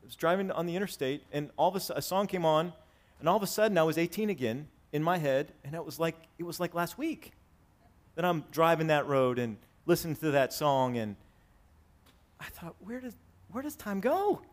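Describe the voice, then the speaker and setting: A man in his forties preaching a sermon to his congregation, swinging between raised, emphatic lines and quiet, conversational asides. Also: on the high side, expressive, a little fast. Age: 40s. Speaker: pastor. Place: church sanctuary with congregation.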